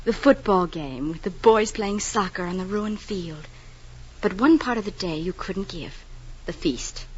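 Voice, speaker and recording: An actress playing a steadfast, teasing, loving young wife in gentle, earnest 1940s radio drama style. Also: female voice, mid-range voice, expressive, unhurried, a little hiss or hum, close to an everyday voice. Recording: dull old 1940s radio broadcast.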